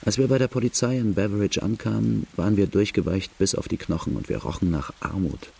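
A man playing a grave outlaw who recounts his hard life in a radio drama, measured and plain-spoken. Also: on the low side, gravelly, darkish tone. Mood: grave, reflective. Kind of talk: first-person radio drama narration.

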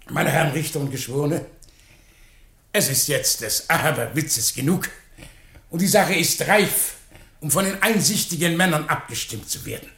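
Theatrical, wildly swooping courtroom advocate. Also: male voice, extremely high pitch, echoey room, full character voice. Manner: declamatory, pompous, swinging from hushed to shouted. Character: theatrical, indignant, sarcastic male defence lawyer pleading in court. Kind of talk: theatrical German radio play oratory.

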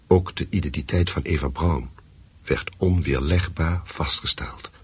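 An actor playing a grave, methodical investigator in a radio drama, with a husky voice and a measured, deliberate delivery. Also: male voice, extremely low pitch, full character voice. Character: grave, methodical male investigator piecing together a wartime secret. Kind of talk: Dutch radio play acting.

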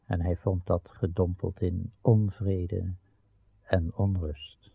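A man as a solemn, measured storyteller narrating a legend in a radio drama. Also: low-pitched, flat delivery, vocal fry, husky, no room sound, exaggerated voice. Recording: dull old radio recording. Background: plucked guitar-like music.

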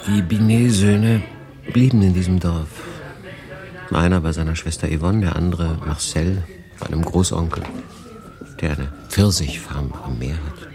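A male actor playing a world-weary refugee in 1940s France, confiding his story in a dramatic monologue with deliberate pauses. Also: deep voice, soft background music. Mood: matter-of-fact. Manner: conversational, deliberate pauses, shifting between emphatic and hushed. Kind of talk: dramatic first-person monologue, literary audio drama.

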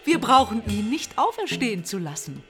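An actress in a radio play voicing a smooth, calculating conspirator. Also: female voice, on the high side, big pitch swings, moderate pace, loud music behind the voice. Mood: assured, scheming. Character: smooth, calculating female conspirator in staged miracle.

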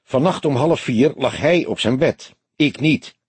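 A man's voice: medium pitch, unhurried, darkish tone, slightly rough, dry recording, voice pushed up. A man voicing a grizzled older detective in an audiobook, dry and stubborn, with a laconic, mocking manner. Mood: dry, unbothered. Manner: laconic, sardonic. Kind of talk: audiobook character voice.

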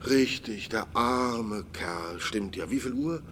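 A man's voice: fairly low pitch, slow, fairly bright tone, a little hiss or hum. A man playing a sociable, nosy acquaintance in a radio drama, rambling through anecdotes in a conversational way. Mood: chatty. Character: chatty gossiping male acquaintance, sociable and nosy.

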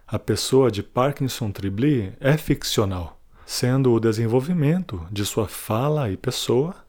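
A man reading scripted documentary narration in a formal, measured manner. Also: medium pitch, a little mellow. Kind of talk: scripted documentary narration for an audio drama podcast.

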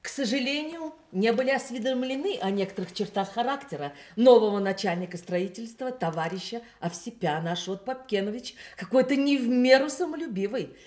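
Soviet radio play: woman acting committee secretary, precise bureaucratic phrasing, highish lively voice. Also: brisk, hardly any pauses, natural voice. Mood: indignant, reporting. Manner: formal, precise, official phrasing. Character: indignant, formal female party committee secretary.

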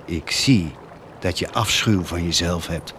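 An actor playing a bitter priest turned traitor in a radio drama, speaking in a grave, measured, accusing manner. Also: male voice, low voice, a bit bright, slight background noise. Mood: resigned, bitter. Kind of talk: theatrical radio drama acting.

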